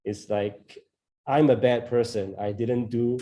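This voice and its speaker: A man from Singapore with a Singaporean accent, sharing his thoughts in a relaxed group conversation on a video call, often laughing and filling pauses. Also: medium pitch. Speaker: co-organiser of a discussion group.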